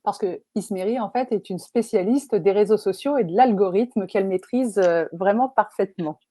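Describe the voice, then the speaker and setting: A woman's voice: medium pitch, moderate pace, warm tone, dry recording. A woman hosting an online interview, welcoming her guest with enthusiasm and asking careful questions. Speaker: interview host and life coach. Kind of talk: video interview.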